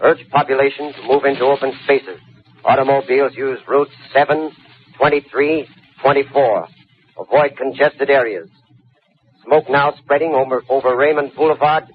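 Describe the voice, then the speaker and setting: Stern emergency announcer, clipped official phrasing, very tinny radio sound, faint static, 1930s radio drama. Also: male voice, mid-range voice, steady tone, normal speed. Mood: urgent, official. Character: urgent male civil-defense radio announcer, stern and official. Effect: radio filter.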